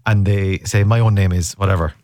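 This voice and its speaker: A man's voice: sits low, steady tone, fairly quick, darkish tone, dry recording. Rambling radio host man. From Ireland, Cork. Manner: rambling, jokey, self-interrupting. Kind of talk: casual radio chat. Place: radio studio. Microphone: studio microphone.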